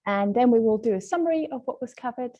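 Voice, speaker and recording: A woman presenting a webinar lesson as a language trainer, explaining points in a polite, measured, semi-scripted way. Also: on the high side, animated, dark tone, highs cut off.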